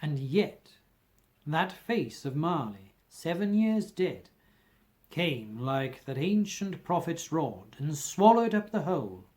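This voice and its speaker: Theatrical storyteller with sweeping, lively pitch. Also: slow. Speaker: amateur audiobook reader. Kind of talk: dramatic audiobook narration of a classic ghost story.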